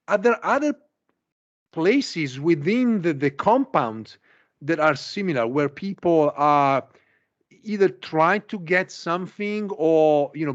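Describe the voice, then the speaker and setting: A man's voice: fairly high pitch, dull audio. A man hosting a conversational interview, easing into long, tentative questions with repeated starts. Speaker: podcast host, interviewer.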